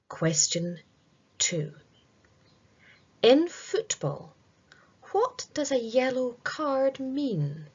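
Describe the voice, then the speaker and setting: Very slow-speaking woman reading a quiz. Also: medium pitch, breathy edge, muffled recording. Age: middle-aged. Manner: deliberate, sing-song delivery with pauses. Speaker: quiz presenter reading aloud. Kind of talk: read-aloud multiple-choice quiz for children. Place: small room indoors.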